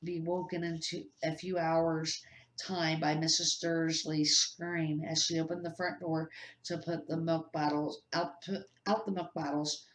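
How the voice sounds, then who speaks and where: An older woman narrates a children's book in a fairly low voice. Her reading is amateur and a little halting. The recording is roomy, with clear echo. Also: level tone. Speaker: storybook reader. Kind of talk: read-aloud narration for children. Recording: echoey amateur room recording.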